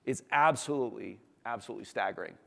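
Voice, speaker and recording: A man's voice: fairly low pitch, fast, gravelly, bright, forceful tone. Enthusiastic male presenter in a reverberant hall. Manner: enthusiastic, conversational, emphatic. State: slightly hoarse. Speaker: company executive presenting. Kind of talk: informal business presentation to an audience. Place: large room, talk before an audience. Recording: echoey hall with long reverb.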